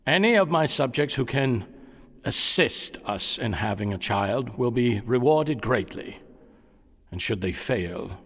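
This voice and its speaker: A man's voice: medium pitch, animated, measured pace, a bit bright. An actor playing an imperious, impatient, brooding king in a theatrical audio drama, speaking with command. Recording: long echoing hall reverb.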